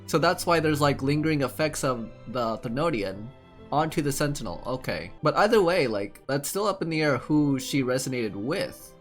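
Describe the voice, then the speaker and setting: A man's voice: mid-range voice, expressive, moderate pace. A young man giving casual, slangy playthrough commentary as a game streamer, rambling and thinking aloud. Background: game music.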